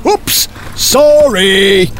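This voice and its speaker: A man's voice: high voice, very animated, slow pace, vocal fry, fairly bright tone, slight background noise, exaggerated voice. A man voicing a bold, cruel, scheming Viking leader in a storybook tale, with a hearty theatrical delivery. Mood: careless.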